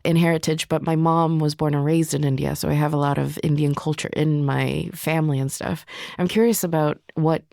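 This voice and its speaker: Woman from Sweden, podcast host, low voice, dry studio sound, curious friendly questions. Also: fairly even intonation, a little fast, creaky, hardly any pauses, darkish tone, dry recording. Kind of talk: scripted podcast intro and casual interview.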